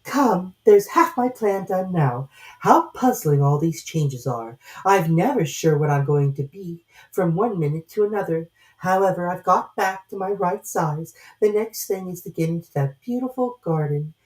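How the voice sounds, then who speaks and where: A woman's voice: on the low side, expressive, moderate pace, darkish tone, far from the mic, pitched above the performer's own voice, natural voice, low-quality recording. A woman voicing a polite, earnest little girl from a storybook, puzzled and easily made indignant. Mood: musing, puzzled. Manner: earnest, hesitant, proper. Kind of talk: storybook character voice.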